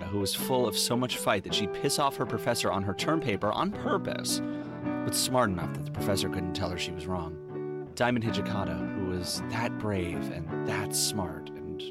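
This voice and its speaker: Casting: hard-boiled American detective, very deep voice, wry and halting, noir audio drama with music underneath. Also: male voice. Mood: wistful, reflective. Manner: wry, informal, halting, rough-edged street talk. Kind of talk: noir audio drama, first-person narration and dialogue.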